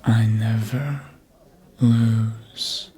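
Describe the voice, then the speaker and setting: An actor playing a seductive, manipulative vampire in an ASMR roleplay, speaking in a breathy, often whispered voice with a deliberate, hypnotic manner, close to the mic. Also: mid-range voice, steady tone, warm tone, faint music behind, full character voice. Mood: smug, hypnotic. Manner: slow, deliberate, hypnotic, with long pauses. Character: seductive vampire, manipulative mind-controller, calm and menacing. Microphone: close ASMR microphone.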